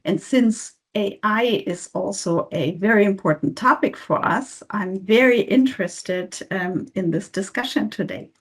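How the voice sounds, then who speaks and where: A woman's voice: mid-range voice, a little slow, few pauses. A woman, a researcher, introducing herself on a panel in a polite, formal way. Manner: polite and formal, some fillers. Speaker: industrial researcher.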